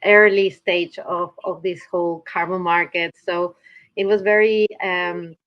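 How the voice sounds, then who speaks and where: A woman's voice: lowish voice, fairly even intonation, unhurried. Woman on a muffled call. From Peru. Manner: thoughtful, searching for words with many restarts. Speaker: guest climate researcher. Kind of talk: remote podcast interview. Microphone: video call.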